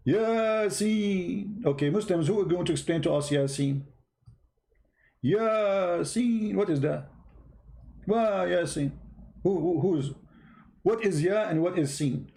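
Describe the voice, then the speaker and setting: A man speaks in a soft-edged, mellow voice that sits a little high for a male. His English has grammar. He argues informally as a live-stream host, repeating himself and throwing out mocking, challenging questions. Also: vocal fry. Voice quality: non-native English grammar.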